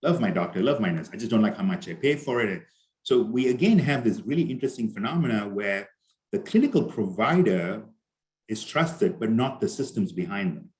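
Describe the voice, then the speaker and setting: A middle-aged man with an Indian accent presents at a conference in a loose, conversational way, full of fillers and restarts. His voice sits a little low. He speaks over a video call. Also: very animated, brisk, a little mellow, rough recording.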